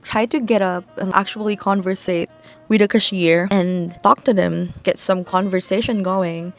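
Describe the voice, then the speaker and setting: A woman's voice: medium pitch, fairly even intonation, normal speed, soft background music. A young woman from the Philippines with a Filipino accent, a native Tagalog speaker, chatting candidly to camera as a vlogger. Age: young adult. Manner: chatty, candid, sprinkled with likes and asides. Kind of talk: casual talk to camera.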